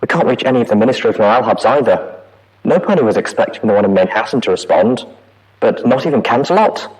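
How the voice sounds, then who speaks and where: A man playing an anguished pony prison inspector recording an audio log, with a wavering, pleading, halting delivery. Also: medium pitch, lively intonation, a little mellow, natural voice, rough recording. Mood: shaken. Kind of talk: dramatic audio-log monologue.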